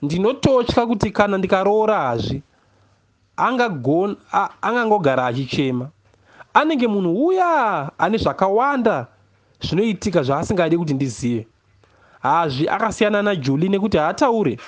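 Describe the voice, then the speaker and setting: A man with a Zimbabwean accent reads a first-person literary story expressively, in a measured way with deliberate pauses. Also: high voice, sing-song. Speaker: reader of a novel, poet.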